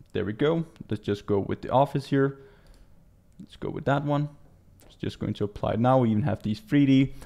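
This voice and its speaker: A man in his twenties from Denmark with a Danish accent, giving a casual software walkthrough as a native Danish speaker. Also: on the low side. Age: 20s. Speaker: tutorial presenter. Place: small indoor room.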